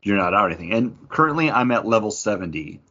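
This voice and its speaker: A man's voice: lowish voice, animated, quick pace, pushed and bright, husky, highs cut off. A man co-hosting a casual gaming chat, explaining things in detail with plenty of slang. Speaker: podcast co-host.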